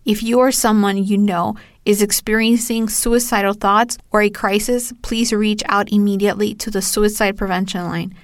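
A woman's voice: mid-range voice, fairly even intonation, moderate pace, few pauses, dry recording, studio quality. A woman hosting a podcast, delivering a punchy, irreverent intro with casual swearing, then a disclaimer. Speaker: podcast host. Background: music.

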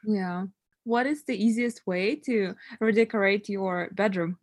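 A woman's voice: mid-range voice, no echo. Ukrainian-accented woman, dry close sound. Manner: non-native grammar, measured and earnest. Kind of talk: casual chat.

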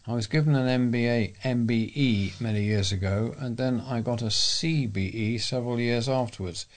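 A man's voice: medium pitch, moderate pace. A man reading a local news bulletin aloud as a volunteer newsreader.

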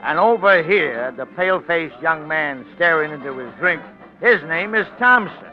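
An actor playing a wry American storyteller, narrating a 1940s radio drama in colloquial, confiding patter. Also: male voice, on the high side, lively intonation, moderate pace, lightly acted. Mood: wry, scene-setting. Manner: wry, colloquial, conspiratorial tour-guide patter. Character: wry storyteller guiding listeners through a seedy bar. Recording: muffled old radio recording with music.

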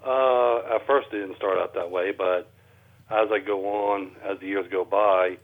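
An American man answering as an interview guest, plain-spoken and halting, over a narrow telephone line. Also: on the low side, steady tone. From Cincinnati, Ohio, USA. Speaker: interview guest, amateur researcher.

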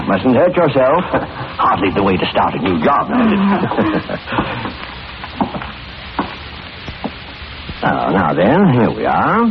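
Swooping, fussy, sinister genial employer, old radio. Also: male voice, mid-range voice, huge pitch swings, unhurried. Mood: oily, sinister. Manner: dithering, repeats himself, unctuous chuckles. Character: fussy, falsely genial middle-aged male employer with sinister intent. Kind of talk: 1940s radio horror acting. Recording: noisy, muffled old radio recording.

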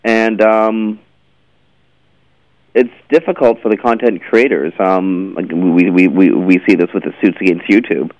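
A man hosting an interview, asking questions conversationally in a halting way, often repeating words. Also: low-pitched, steady tone. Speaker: interviewer, show host. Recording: dull, slightly lo-fi, dry sound.

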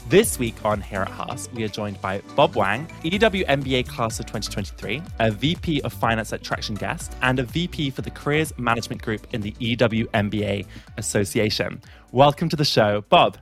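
A man's voice: medium pitch, animated, a little fast, soft background music. A man hosting a student-run podcast, introducing and questioning his guest in an upbeat, encouraging way. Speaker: podcast host.

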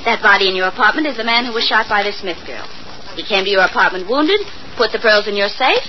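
On a dull, faintly hissy old radio recording, a lively girlfriend character acted by a woman speaks at a medium pitch. She is teasing and eager, in 1940s drama style. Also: fast talker, close to an everyday voice.

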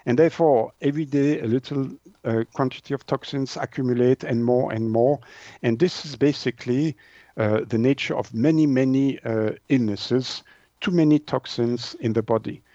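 Guy explaining stuff in an interview, searches for words, medium pitch, dry but kinda dull audio. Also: warm tone, dry recording. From Switzerland. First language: French. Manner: halting, hesitant delivery with word searching. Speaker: naturopath, interview guest.

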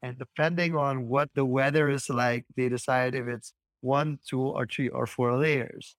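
A man's voice: mid-range voice, fairly even intonation, a little slow, dry recording. A man from Caribbean America, hosting a casual chat and explaining things in short, broken phrases. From Caribbean Latin America. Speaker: podcast host.

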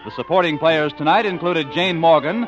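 High-pitched vintage male radio announcer. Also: normal speed. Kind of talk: scripted 1950s network radio announcing. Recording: muffled vintage radio broadcast, music behind.

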